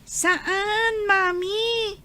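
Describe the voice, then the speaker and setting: A woman's voice: very high-pitched, fairly even intonation, extremely slow, sharp tone, heavily acted. A woman playing a small, innocent and curious granddaughter in an Ilocano audio drama. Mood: timid, pleading. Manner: childlike, inquisitive.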